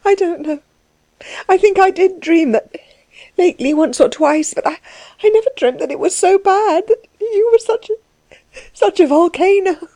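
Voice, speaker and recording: A woman voicing a tearful, apologetic young woman in an audiobook story, halting and pleading as she cries. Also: very high voice, expressive, warm tone, no room sound, pitched above the performer's own voice. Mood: distressed, crying. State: crying.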